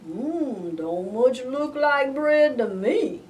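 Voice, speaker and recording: A woman's voice: sits high, lively intonation, extremely slow, darkish tone, far from the mic, exaggerated voice. A woman voicing nosy, skeptical storybook animals with a drawling, folksy manner. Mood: nosy, doubtful.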